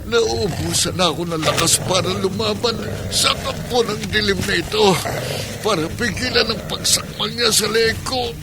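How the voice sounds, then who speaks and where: An actor playing a desperate village captain fighting a monster, gasping and straining in a horror radio drama. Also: male voice, high-pitched, moderate pace, heavy background noise. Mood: weakening, desperate. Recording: loud dramatic music under the voice.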